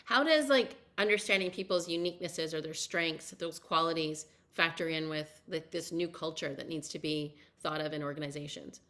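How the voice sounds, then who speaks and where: A woman's voice: sits low, normal speed, few pauses, bright, forceful tone. A woman interviewing a guest as a podcast host, chatty and effusive, with long, winding questions. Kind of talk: casual conversational interviewing.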